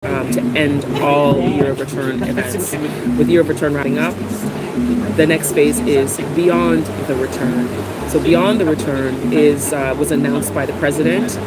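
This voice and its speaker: A woman's voice: deep voice, steady tone, a little slow. Chatty woman vlogging with a cold. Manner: chatty, many ums and restarts. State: has a cold, occasional cough. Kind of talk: casual vlog talk to camera. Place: Ghana, with outdoor and traffic noise. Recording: loud background noise.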